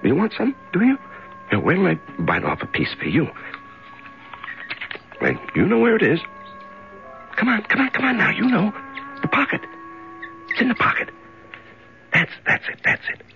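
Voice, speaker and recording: An actor playing a lonely, despairing prisoner in a 1970s radio drama, musing tenderly and haltingly to himself and a small companion. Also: male voice, sits high, wildly swooping pitch, gravelly, heavily acted. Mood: coaxing, comforted. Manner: halting, musing, repeats words, talks to himself. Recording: dull 1970s radio recording with music.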